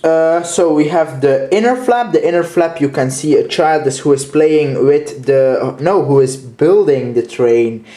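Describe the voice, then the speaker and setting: Slow, hesitant Dutch-accented man. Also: on the high side, mellow, roomy sound. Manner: hesitant, many fillers and restarts. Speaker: channel host reviewing toy trains. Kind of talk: casual show-and-tell review.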